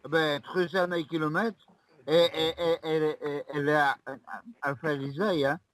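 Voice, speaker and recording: A man's voice: fairly high pitch, flat delivery, normal speed, a bit bright, voice pushed up, close to an everyday voice. A man playing a confused, muddled haggler on a prank call, stammering and repeating himself in mumbled phrases. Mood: muddled, insistent. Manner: stammering, repetitive, mumbled nonsense.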